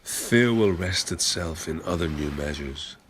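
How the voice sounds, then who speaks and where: A man reciting a poem in a measured, solemn style. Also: sits low, animated. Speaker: poetry reader. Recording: clear ambient noise behind voice.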